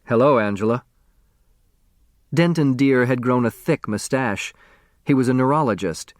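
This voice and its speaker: A man giving an expressive scripted narration of a novel as an audiobook narrator. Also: medium pitch, moderate pace, dry recording, studio quality.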